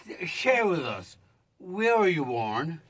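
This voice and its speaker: A man's voice: a little high, big pitch swings, slow pace, a bit bright, distant mic. A man hosting an interview, asking probing questions with emphatic phrasing. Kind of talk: casual interview.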